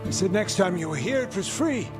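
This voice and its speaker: A man playing a gruff but generous, familiar and blustery fish vendor in a video game. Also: high voice, animated, a little fast. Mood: blustery, friendly. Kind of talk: dramatic video game dialogue. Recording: loud music over distant voice. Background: loud game music.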